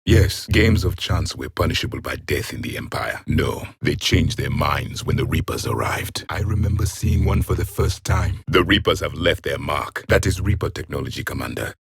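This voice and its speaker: An actor playing an ancient alien warrior in a video game, the grim and scornful last of his race, with a husky, raspy voice and stern, haughty, formal phrasing. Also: extremely low pitch, expressive, normal speed, hardly any pauses, no room sound, studio quality, exaggerated voice. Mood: grim, disdainful. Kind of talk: video game character voice lines.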